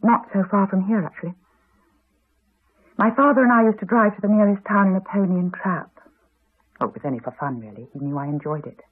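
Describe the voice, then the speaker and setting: A haunted woman in an eighties radio drama, heard through a narrow, phone-like archive recording. Her voice is husky, and she confides gravely in measured phrases with quiet intensity. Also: fairly low pitch. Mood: reminiscing. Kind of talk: 1980s radio drama acting.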